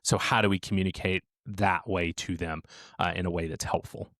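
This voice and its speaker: A man with a low voice answers interview questions in a measured, explanatory way. He sounds close and dry. He slows down whenever he stresses a point. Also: steady tone, fairly bright tone, dry recording. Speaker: support team leader, guest.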